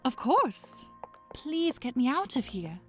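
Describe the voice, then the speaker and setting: A woman playing an eager, curious young fantasy princess in an audio drama, expressive and slightly hesitant. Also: high voice, slow pace, faint music behind, heavily acted. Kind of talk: fan audio drama acting.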